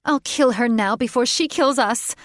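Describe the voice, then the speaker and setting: A woman voicing a furious young woman turning into a bird-creature, with a shrill, frantic, accusing way of talking. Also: normal speed, dry recording, studio quality, lightly acted.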